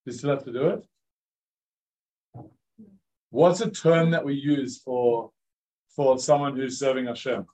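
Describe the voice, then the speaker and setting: A male rabbi speaking to a roomful of students in an easygoing, conversational way. His voice sits at a mid pitch, and his sentences are loose and scattered with fillers. He stops often to ask the audience questions. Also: unhurried, pauses often, a little mellow, poor audio. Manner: casual and chatty, many "like" fillers, questions to the audience. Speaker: rabbi teaching a class. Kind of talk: informal interactive lecture. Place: room with a live audience of students.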